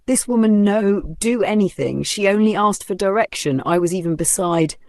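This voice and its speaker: Narrator voicing a young man urging calm. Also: mid-range voice, moderate pace, dry recording, clean studio sound, pitched above the performer's own voice, lightly acted. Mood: pleading.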